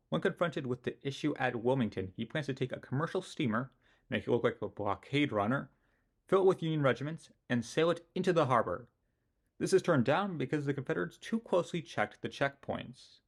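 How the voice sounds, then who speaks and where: A man's voice: mid-range voice, creaky. Male history narrator, scripted delivery, opinionated, self-mocking asides.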